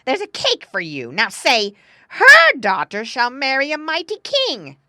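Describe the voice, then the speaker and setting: A woman's voice: medium pitch, big pitch swings, normal speed, a bit bright, dry recording, clean studio sound, pitched above the performer's own voice. A woman voicing a wicked old stepmother in a fairy tale, bossy and scolding. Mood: angry, coaxing.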